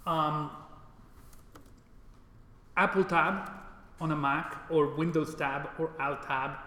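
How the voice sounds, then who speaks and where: A man's voice: a little high, fairly even intonation, slow, bright, forceful tone. A man from Mexico giving an informal programming lecture in a classroom, often checking in with short tag questions. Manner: casual, often seeks agreement with tag questions. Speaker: programming instructor. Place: indoor classroom. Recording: echoey, reverberant room with background noise.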